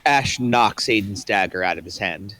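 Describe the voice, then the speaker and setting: A man's voice: fairly low pitch, normal speed, pushed and bright. A man narrating the action in a plain, matter-of-fact way. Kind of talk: reading stage directions.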